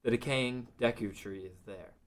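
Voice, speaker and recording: A man with a fairly low voice narrates a fantasy adventure. He reads scripted stage directions as the narrator of a table read. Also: moderate pace.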